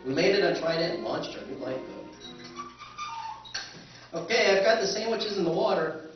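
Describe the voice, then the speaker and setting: A man's voice: high voice, animated, moderate pace. A man narrating and playing a wry male surveyor, telling the story with dry humor in a live radio drama recreation. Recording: echoey hall, far mic, muffled, music.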